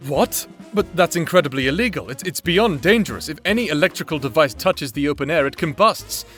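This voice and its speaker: A man's voice: on the high side, lively intonation, brisk, fairly bright tone, faint music behind. A man playing a proper, guarded new prisoner in an audio drama, serious and formally spoken. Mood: alarmed. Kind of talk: audio drama character acting.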